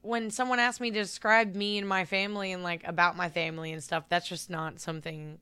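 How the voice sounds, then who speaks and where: Brief: woman in her 20s, deep, smooth and bright voice, chatty podcast co-host, dry close mic. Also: flat delivery, no echo. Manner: chatty, quick to tease back. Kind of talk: casual podcast chat.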